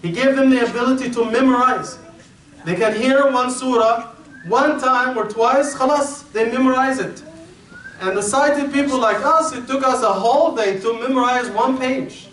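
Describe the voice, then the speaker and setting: A man's voice: very high-pitched, normal speed, a bit bright. A man from Egypt giving an informal religious talk to schoolchildren, conversational and repetitive, with frequent fillers. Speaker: teacher giving a talk to students. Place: school hall with children. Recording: echoey hall, distant mic, faint crowd.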